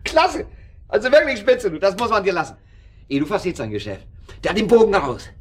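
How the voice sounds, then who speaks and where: An actor playing a sardonic, jeering club member in a German radio drama, mocking and flippant in a theatrical way. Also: male voice, high-pitched, sing-song, fairly quick. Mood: sarcastic.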